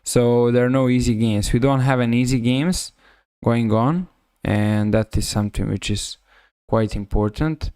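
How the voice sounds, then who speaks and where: A man with a Serbian accent giving live chess commentary on a stream, halting and often rephrasing himself. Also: mid-range voice, dark tone, smooth, no echo, clean studio sound.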